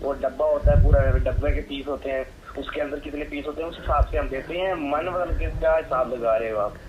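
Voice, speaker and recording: Casting: Pakistani man as an exasperated, sarcastic supplier on the phone, fairly high voice, faintly noisy line. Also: brisk, highs cut off. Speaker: medicine supplier taking a phone order. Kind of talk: phone conversation.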